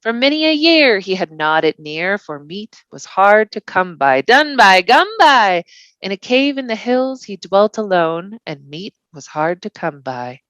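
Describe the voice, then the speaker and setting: A woman's voice: mid-range voice, very animated, a little slow, fairly bright tone, no echo, highs cut off. A woman voicing a humble young male hobbit with folksy rustic grammar, for a storybook. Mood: playful, singsong.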